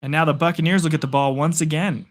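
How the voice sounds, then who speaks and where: A young man calling play-by-play for a live stream, excitable and chatty, answering chat, his voice getting worn. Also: on the high side, fast talker. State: losing his voice. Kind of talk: casual live play-by-play commentary.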